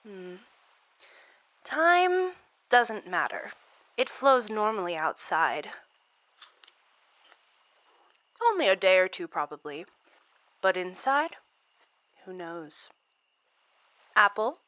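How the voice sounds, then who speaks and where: A woman's voice: mid-range voice, sing-song, slow pace, long pauses, a bit bright, no room sound. An actress playing a smug, playfully cruel supernatural woman in a horror audio drama, speaking in a mocking, theatrical way. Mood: playful menace. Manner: mocking, theatrical, breaking into chuckles and sighs.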